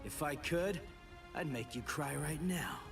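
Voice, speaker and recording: A man's voice: on the high side, unhurried, gravelly. A man playing a brash, hot-headed teenage boy hero in an English anime game dub, blunt and intense. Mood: confrontational. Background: loud game music.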